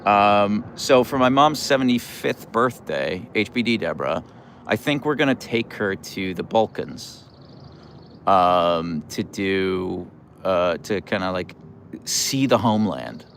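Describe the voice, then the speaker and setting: A middle-aged American man hosting a casual livestream, playful and wry, reading out comments and answering in halting starts. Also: on the low side, lively intonation, vocal fry, fairly bright tone, a little hiss or hum. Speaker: livestream host.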